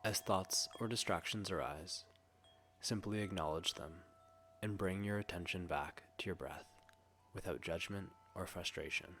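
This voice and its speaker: Low-voiced man guiding a meditation. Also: a little hiss or hum. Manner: gentle, soothing, hushed delivery with long pauses. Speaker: meditation guide. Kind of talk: scripted guided meditation.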